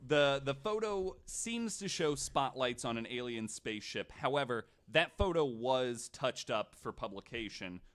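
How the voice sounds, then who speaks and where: A man hosting a casual podcast, retelling stories to his guests in an animated, swearing way. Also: medium pitch, unhurried, few pauses, clean tone. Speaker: podcast host.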